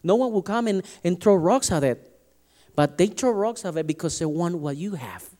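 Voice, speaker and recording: A man's voice: high-pitched, animated, normal speed, dark tone. A man from Latin America preaching a sermon, emphatic and often restarting his sentences. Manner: emphatic, restarts and stumbles over words.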